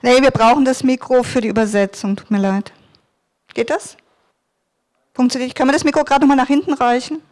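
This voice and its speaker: A woman's voice: high-pitched, steady tone, a little fast, pauses often, a little mellow. Woman moderating a conference panel. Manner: organizing, polite directions to audience. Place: conference hall. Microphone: handheld mic.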